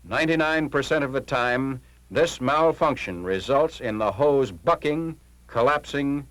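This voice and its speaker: A man's voice: medium pitch, measured pace, a bit bright, no room sound. An American man narrating a 1940s military training film in a formal, clipped, instructional manner. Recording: noisy 1940s film soundtrack, engine-like hum.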